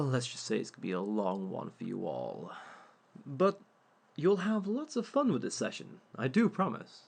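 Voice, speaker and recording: A man's voice: medium pitch, gravelly, heavily acted. A man playing a smug male villain who hosts a dating workshop, speaking in a dry, teasing way to an unseen audience. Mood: welcoming. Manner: dry, teasing, unhurried, talks to unseen listeners. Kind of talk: audio roleplay monologue.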